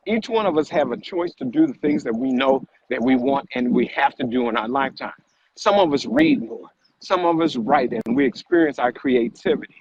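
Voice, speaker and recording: A man with a slightly high voice gives a motivational talk on a live stream. He is emphatic and repeats himself, often posing rhetorical questions. The sound is a bit muffled, with some background noise. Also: vocal fry. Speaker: vlog co-host and fitness motivator.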